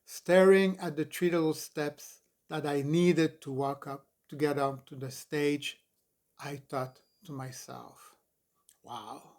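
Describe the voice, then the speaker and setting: A man in his sixties from Montreal reading his memoir aloud in an expressive, deliberate, dramatic narrating style. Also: on the high side. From Montreal, Canada. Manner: expressive, deliberate, emphatic storytelling. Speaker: author reading own memoir.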